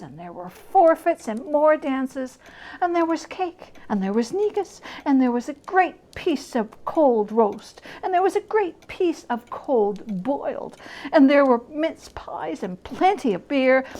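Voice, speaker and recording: A woman giving a dramatic reading of a classic story, with deliberate, expressive, emphatic phrasing. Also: sits high.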